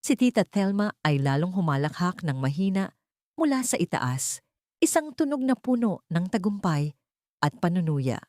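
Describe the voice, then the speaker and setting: A woman's voice: fairly low pitch, big pitch swings, normal speed, dark tone, no echo, clean studio sound. A woman narrating a scripted dramatic audio story in a solemn, emotive manner.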